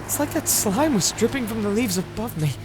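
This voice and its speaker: Woman acting a high, dramatic, complaining cave-dweller. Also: expressive, brisk, noisy background, lightly acted. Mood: disgusted, pained. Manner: exclaiming, dramatic. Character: complaining, distressed fantasy cave-dweller, easily disgusted. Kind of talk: fantasy audio drama acting.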